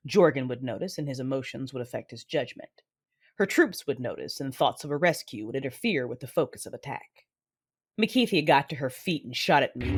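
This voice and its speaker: An actress playing a cruel, cold cyborg warrior mother in an audio drama, measured, menacing and commanding. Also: a bass voice, noisy background. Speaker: narrator of own story in audio drama. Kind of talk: dramatic first-person narration and acting. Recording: music bed behind voice.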